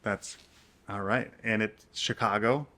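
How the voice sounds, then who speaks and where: A man's voice: on the low side, fairly even intonation, takes it slowly, pushed and bright. Man chatting casually about books. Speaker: co-host of a book channel. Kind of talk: casual game chat between friends.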